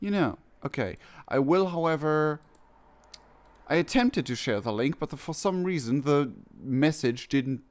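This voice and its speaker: A man's voice: on the high side, animated, unhurried. A man giving casual live reaction commentary on a game, rambling and often rewording himself. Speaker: streamer reacting to game cutscenes.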